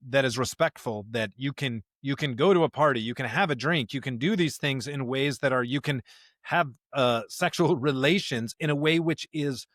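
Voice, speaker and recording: A man co-hosting a podcast in casual conversation, talking emphatically in digressive, halting phrases. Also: mid-range voice, fairly bright tone, dry recording, studio quality. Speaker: podcast co-host.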